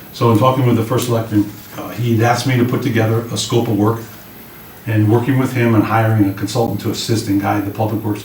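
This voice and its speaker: Low, slightly raspy man in a roomy meeting. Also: a little mellow. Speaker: public works director. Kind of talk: informal briefing to a committee. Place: indoor meeting room. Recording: roomy, distant mics with clear background noise.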